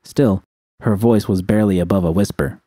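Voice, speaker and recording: Guy reading an audiobook story, soft dark voice, really dry close recording, first person narration. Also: deep voice, normal speed, dry recording.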